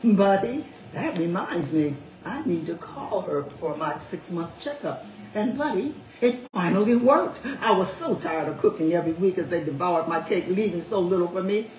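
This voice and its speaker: A woman's voice: fairly low pitch, animated, normal speed, a little mellow, far from the mic, slight background noise, pitched above the performer's own voice, natural voice. A woman reading as a sly older widow, gleeful. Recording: roomy echo, faint music.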